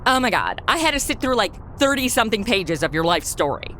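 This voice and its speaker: A woman's voice: medium pitch, big pitch swings, a little fast, a bit bright, a little hiss or hum. A woman playing a sassy, flippant young guardian angel in a comedy audio drama, talking in blunt, sarcastic modern slang.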